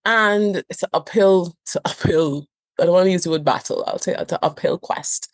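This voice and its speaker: Trinidadian woman therapist, deep-leaning voice, emphatic and earnest, dry studio sound. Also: fairly quick, no echo. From Trinidad and Tobago. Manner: earnest, emphatic, repeats phrases for stress. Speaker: couples therapist and trainer. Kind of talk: reflective podcast interview talk.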